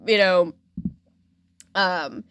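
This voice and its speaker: A woman hosting a podcast, telling a story in a casual, animated, slangy way and acting out quotes. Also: fairly low pitch, very slow, clear, resonant tone, no echo, muffled recording. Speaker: podcast host.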